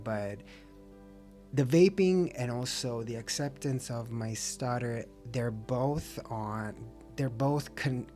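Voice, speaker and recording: Reflective man vlogging with a slight stutter. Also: medium pitch. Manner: open, reflective, self-encouraging; occasional stutter and restarts. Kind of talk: casual talk to camera.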